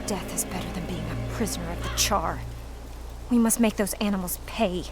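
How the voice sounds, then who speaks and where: A woman's voice: on the low side, moderate pace, close to an everyday voice. A woman playing a vengeful, hot-headed young fighter in a video game, biting and defiant. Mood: bitter anger. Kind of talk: video game cutscene acting. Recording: voice over loud cinematic music.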